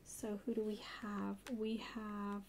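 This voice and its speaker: Hushed, creaky-voiced woman doing ASMR. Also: mid-range voice, monotone, slow. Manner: hushed, often dropping into whispers. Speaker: haul video host. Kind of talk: soft-spoken ASMR haul chat. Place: indoors at a table. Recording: noisy close home recording.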